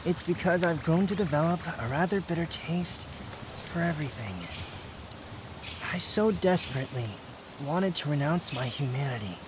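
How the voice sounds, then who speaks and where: A man's voice: a little high, noisy background. A man playing a proud, bitter young man, guarded but tender, in an intimate ASMR roleplay with brooding, curt, confessional delivery. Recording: outdoor nature ambience clearly behind voice.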